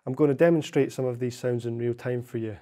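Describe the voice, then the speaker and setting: A young man narrating a software tutorial in a measured, explanatory way. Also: mid-range voice, fairly even intonation. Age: young adult. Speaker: software demonstrator.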